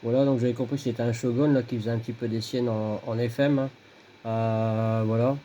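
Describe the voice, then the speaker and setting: A man's voice: medium pitch, little pitch movement, brisk, warm tone. A man giving casual, matter-of-fact signal reports as an amateur radio operator, often repeating himself. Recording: faint background noise, radio contact.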